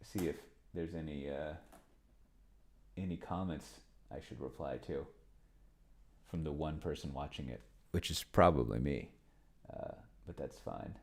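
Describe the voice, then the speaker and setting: Man, low gravelly voice, casual game streamer, rambling, muttering as he reads and types. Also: a little slow, long pauses. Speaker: solo tabletop game player and streamer. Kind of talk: casual screen-share commentary, thinking aloud.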